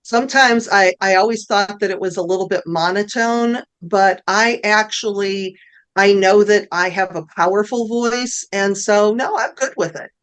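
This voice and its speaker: A woman guest on a podcast with a strong voice that carries. She speaks confidently and directly at a middle pitch. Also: moderate pace, fairly bright tone. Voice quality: strong, carrying voice. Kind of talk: podcast interview.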